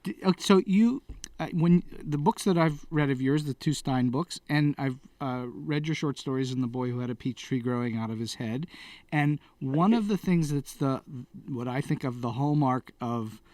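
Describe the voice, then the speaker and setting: A man's voice: medium pitch, normal speed, a little mellow, dry recording, distant mic. A man hosting a podcast interview in a casual, conversational style. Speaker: podcast host interviewing a guest.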